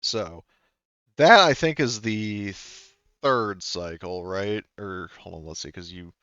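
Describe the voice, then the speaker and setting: Deep smooth-voiced guy running a tabletop game, rambly, dull lo-fi call audio. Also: slow, bright, forceful tone, no room sound. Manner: rambling, full of fillers and restarts. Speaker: game master.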